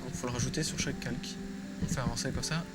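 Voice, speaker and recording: A man's voice: mid-range voice, steady tone, fast talker. A man giving a casual, improvised tutorial, thinking aloud informally as he works. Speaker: drawing tutorial presenter. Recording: music playing behind the voice. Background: loud music.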